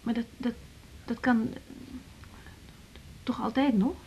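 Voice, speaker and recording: A woman's voice: a little high, fairly even intonation, a little mellow, a little hiss or hum. An actress playing a guarded, defiant young woman doctor in a radio drama. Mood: defensive. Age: young adult. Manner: evasive, curt, quick to retort. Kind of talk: 1980s Dutch radio drama acting.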